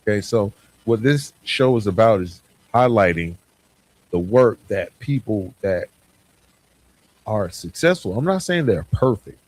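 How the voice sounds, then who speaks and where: A man hosting a podcast solo, speaking reflectively and earnestly in an informal way, often pausing mid-sentence. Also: fairly low pitch, lively intonation, a little mellow, low-quality recording. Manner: earnest, halting delivery with pauses mid-sentence. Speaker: podcast host.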